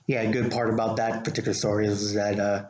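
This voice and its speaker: A man chatting casually and telling stories in a halting, rambling way full of filler "like"s, as co-host of an informal talk. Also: lowish voice, little pitch movement, slight background noise. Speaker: show host, rideshare driver telling stories. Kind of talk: casual chat, storytelling. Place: living room, indoors. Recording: roomy, echoey living room.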